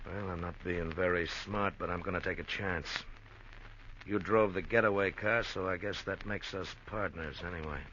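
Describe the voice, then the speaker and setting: A man's voice: low voice, steady tone, normal speed, bright, forceful tone. An actor playing a menacing, slangy gunman in an old-time radio crime drama, talking tough and threatening. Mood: calculating, smooth. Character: menacing, slangy gunman bullying his woman accomplice. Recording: noisy, dull vintage radio recording.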